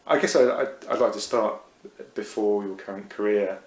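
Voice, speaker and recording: A man's voice: on the low side, moderate pace. A man hosting an interview series, asking questions with lots of ums and uhs. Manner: hesitant, many ums and uhs. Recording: echoey room, faint noise.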